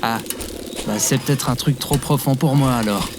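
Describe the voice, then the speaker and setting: A man playing a plain-spoken, good-natured, somewhat slow-witted traveller in a comedic audio drama. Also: medium pitch, noisy background, natural voice. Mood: doubtful. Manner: casual, doubtful.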